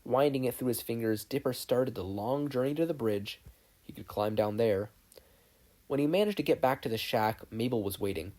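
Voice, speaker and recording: Mellow-voiced fan fiction narrator. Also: mid-range voice, moderate pace. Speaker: podfic reader, narrator. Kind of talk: scripted story narration.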